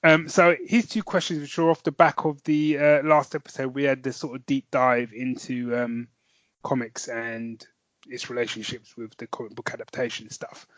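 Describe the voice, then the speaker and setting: A man's voice: medium pitch, little pitch movement, moderate pace, a bit bright, no room sound. A man with a British accent co-hosting a podcast, chatting casually and teasing his co-host with frequent laughs. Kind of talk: casual chat.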